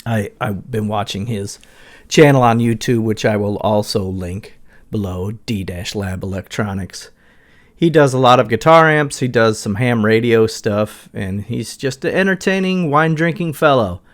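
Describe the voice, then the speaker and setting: A man's voice: lowish voice, moderate pace. A middle-aged man giving a casual walkthrough as a guitar gear reviewer.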